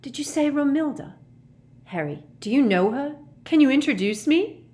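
A woman's voice: fairly low pitch, lively intonation, slow. A woman voicing a lovesick teenage boy in a storybook reading, breathless and dreamy, easily enraged. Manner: breathless, sighing, overwrought delivery.

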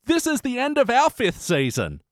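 A man's voice: very high-pitched, wildly swooping pitch, normal speed, dry recording. Casual male podcast host, dry close sound. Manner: informal, sweary.

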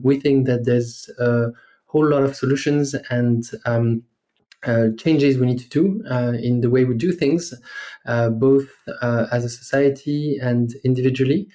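A man in his thirties from France with a French accent, a podcast guest speaking in a measured way with many fillers and pauses. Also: mid-range voice, mellow. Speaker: guest, startup investor. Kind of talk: podcast interview.

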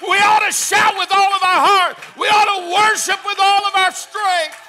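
A man preaching a Pentecostal sermon, swinging from hushed, quick asides to shouted hallelujahs. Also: extremely high pitch, fairly even intonation, some chatter behind. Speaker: pastor. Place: church.

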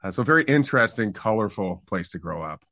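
A middle-aged man from Canada talks reflectively as an interview guest, pausing on fillers and restarting his sentences. His voice sits a little low but has a bright, forward edge. The recording is dry and close. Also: expressive, dry recording. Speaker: guest singer-songwriter. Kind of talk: relaxed interview conversation.

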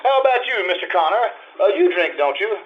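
A man's voice: high voice, very animated, quick pace. A man acting a sardonic, urbane society ex-husband who teases and needles in an old-time radio play. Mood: breezy teasing. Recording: slightly dull band-limited stage recording.

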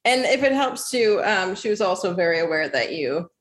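A woman interviewing a colleague in a relaxed conversation, laughing along and reassuring her. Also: mid-range voice, moderate pace. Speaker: interviewer, coworker. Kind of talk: casual conversation.

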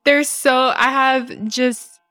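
A woman's voice: high-pitched, steady tone, slow, bright, forceful tone. A woman hosting a conversational interview, enthusiastic and casual, asking a guest to open up. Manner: enthusiastic, uses casual slang. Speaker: host.

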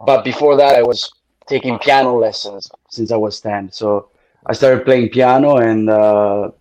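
A man's voice: mid-range voice, fairly even intonation, unhurried, darkish tone, dull audio. A man from Italy, a native Italian speaker, talking as a guest musician in an interview, hesitant and full of fillers as he searches for words. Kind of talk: casual interview.

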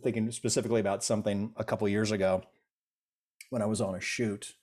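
A man's voice: on the low side, flat delivery, fast talker, a little mellow. A middle-aged man, a guest in an interview, telling stories in a rambling, self-deprecating way with many restarts. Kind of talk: casual interview chat.

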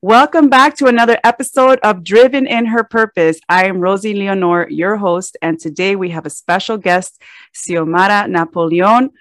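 A woman's voice: medium pitch, normal speed, fairly bright tone, no room sound. A woman in her forties hosting a faith-based podcast, talking in an encouraging, prayerful way. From Miami area. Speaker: podcast host.

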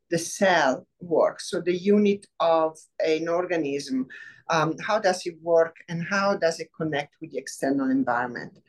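Middle-aged italian lady prof chatting, low-ish voice, lots of ums and little laughs. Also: poor audio. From Rome, Italy. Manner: warm, thoughtful, many "um" pauses, laughs easily. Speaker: biology professor, guest. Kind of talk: casual interview.

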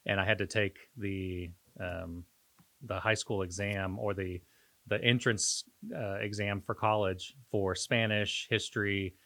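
A man co-hosting a podcast in casual, reflective conversation, pausing and searching for his words as he talks. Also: on the low side, fairly even intonation, fairly bright tone. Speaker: podcast co-host.

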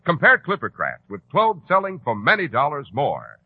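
Animated American 1940s radio announcer man. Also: on the high side, normal speed, over loud music. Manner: polished, salesmanlike, emphatic. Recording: muffled old radio broadcast.

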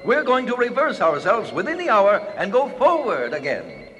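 Unflappable male scientist, animated intonation, mild and precise, long reverb, radio play. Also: sits high, moderate pace, heavily acted. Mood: reassuring. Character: unflappable, fussy male scientist of a futuristic world.

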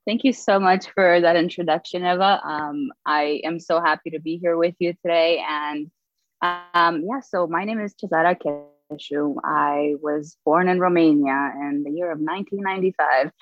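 A woman in her twenties, a guest author, telling her story in a relaxed way with frequent fillers and light laughs. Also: low voice, normal speed, no echo, soft background music. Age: 20s. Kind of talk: casual storytelling. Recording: dull, lo-fi dry audio.

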